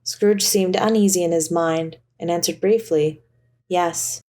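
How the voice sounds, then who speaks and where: A woman reading a scripted storybook as narrator, in an expressive, theatrical way. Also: fairly low pitch, normal speed. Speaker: audiobook narrator.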